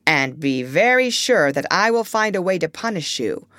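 A woman reading aloud, voicing a kindly but stern male doctor in a storybook, speaking slowly and firmly. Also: on the low side, sing-song, fairly bright tone, no echo. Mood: warning. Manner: slow, firm and earnest.

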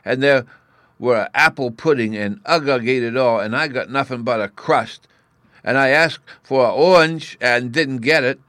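A man's voice: mid-range voice, normal speed, a bit bright, no room sound, studio quality, pitched above the performer's own voice, close to an everyday voice. A man voicing an earnest, plaintive little boy in a storybook, easily upset and speaking in childish, babyish grammar. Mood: tearful, aggrieved.

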